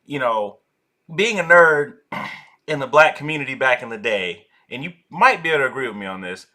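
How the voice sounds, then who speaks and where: A man's voice: medium pitch, normal speed. A young man from Atlanta hosting a casual interview, rambling with lots of fillers. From Atlanta, Georgia. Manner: rambling, many fillers, animated. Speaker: podcast host.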